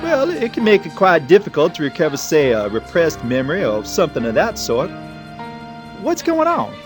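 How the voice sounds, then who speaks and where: An actor playing a folksy, self-deprecating, smitten analyst in an audio drama, a little flustered. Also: male voice, a little high, huge pitch swings, moderate pace, music in the background. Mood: uneasy, explaining.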